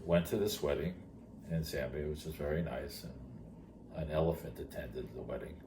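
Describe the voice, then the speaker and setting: A man's voice: rumbling low, normal speed. An older man telling a personal story conversationally, in plainspoken, short emphatic phrases. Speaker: retired teacher telling his story. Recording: clearly noisy background.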